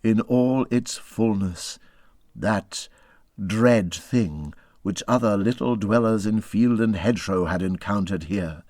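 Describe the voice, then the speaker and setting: A man reading a classic children's animal story as scripted narration, in a dramatic, expressive style that moves between hushed and urgent. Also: lowish voice, takes it slowly, no echo, clean studio sound. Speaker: audiobook reader.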